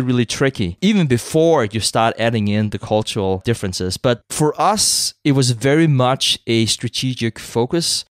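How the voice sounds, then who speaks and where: A man's voice: medium pitch, no room sound, studio quality. A man from Denmark with a Danish accent, speaking English as a second language as a podcast guest, in a conversational way with many fillers and repeated words. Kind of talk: interview conversation.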